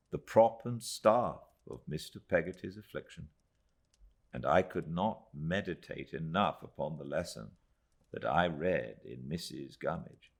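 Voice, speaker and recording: Deep, gravelly British male narrator. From Britain. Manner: measured, dramatic phrasing. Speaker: audiobook narrator. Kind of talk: expressive literary narration.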